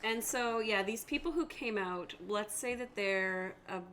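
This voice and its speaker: A woman running a tabletop game as game master, narrating and thinking aloud with hesitant pauses. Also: medium pitch, a bit bright, slight background noise. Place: tabletop game session with other players.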